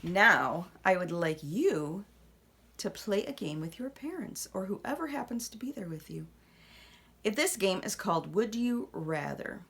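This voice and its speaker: A woman reading a children's picture book aloud expressively, with dramatic pauses and hushed asides. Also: mid-range voice, very animated.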